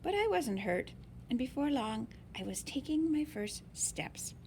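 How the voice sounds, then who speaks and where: A woman reading a picture book aloud in an expressive, deliberate way for young listeners. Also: sits high, slight background noise. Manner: slow, deliberate, animated phrasing for young listeners. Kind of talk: expressive children's read-aloud.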